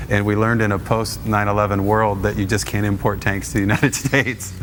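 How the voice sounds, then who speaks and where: A man with a very low, flat voice speaks as a guest on a television interview. He talks in a steady, halting way, with many fillers. A clear hiss sits under the recording. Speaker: guest, auction business owner and minister. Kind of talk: conversational interview answer.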